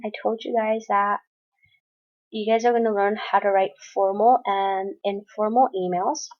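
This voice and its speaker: Slow, patient woman teacher, lo-fi mic. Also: medium pitch. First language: Spanish. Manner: patient, step-by-step explaining. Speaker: college English teacher. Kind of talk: recorded screen-share lesson.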